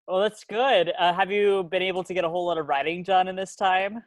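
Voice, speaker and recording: A young man, a student journal co-editor, hosting an interview hesitantly with many pauses. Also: sits high, fairly even intonation, dry recording. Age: young adult. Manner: hesitant, frequent uh fillers.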